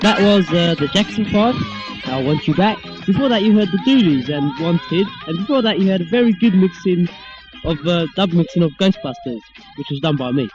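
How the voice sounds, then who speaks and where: High-voiced teenage boy DJ on lo-fi tape. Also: animated, normal speed. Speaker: amateur radio show host. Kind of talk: casual radio DJ links. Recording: dull lo-fi home cassette tape. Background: music.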